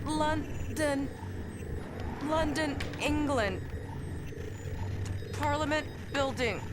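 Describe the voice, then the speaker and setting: A woman's voice: high voice, drawn-out words, noisy background. A woman playing an earnest military intelligence lieutenant in game cutscenes, speaking in a formal briefing manner. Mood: entranced. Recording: music behind the voice.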